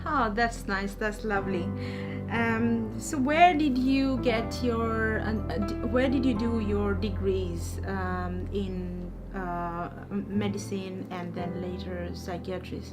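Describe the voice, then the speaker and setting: A woman's voice: mid-range voice, steady tone, takes it slowly. A woman hosting an interview for a coaching academy, asking questions hesitantly with many fillers and restarts. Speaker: interviewer, life coaching host. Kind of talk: hosted interview. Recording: music playing behind.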